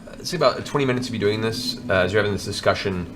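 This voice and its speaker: A man's voice: fairly low pitch, very fast, noisy background. A man narrating a tabletop game as game master, improvising descriptions.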